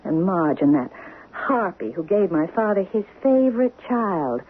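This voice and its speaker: An actress playing a bitter, domineering, scheming woman in a 1970s radio drama, husky and sardonic, on a thin old radio recording. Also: mid-range voice, very animated, measured pace, narrow, tinny audio. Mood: bitter, venomous. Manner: pointed, sardonic, demanding. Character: bitter, domineering, scheming half-sister and secret lover.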